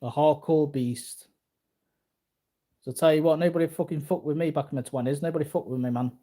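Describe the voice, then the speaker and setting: Higher-pitched boastful man in his forties. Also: fairly quick, warm tone. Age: early 40s. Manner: repetitive, boastful. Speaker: martial arts vlogger. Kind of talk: rambling casual talk to viewers.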